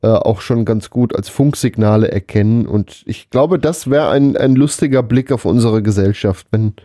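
A man's voice: fairly low pitch, moderate pace, warm tone, no room sound, clean studio sound. A man co-hosting a casual, humorous podcast, musing in a hesitant, rambling, mock-serious way. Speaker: podcast co-host.

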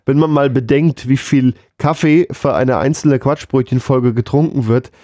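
A man's voice: medium pitch, fairly even intonation, normal speed, dark tone, no echo, clean studio sound. A man chatting casually and jokingly as a podcast co-host. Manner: joking, playful. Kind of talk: casual comedic podcast banter.

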